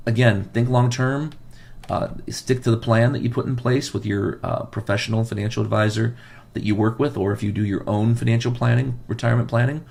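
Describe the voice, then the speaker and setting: A man's voice: medium pitch, fairly even intonation, a little fast, vocal fry, darkish tone. A man hosting a financial advice podcast, losing his voice, who talks earnestly and repeats key points for emphasis. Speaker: financial advisor and podcast host.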